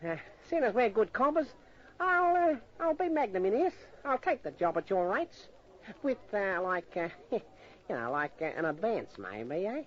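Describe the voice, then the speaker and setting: An actor playing a shifty, slangy small-time informant with an Australian accent and a hesitant, wheedling way of talking, in old-time radio style. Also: male voice, high voice, big pitch swings, moderate pace. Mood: nervous wheedling. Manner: hesitant, stammering, chuckling, slangy. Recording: dull vintage radio recording.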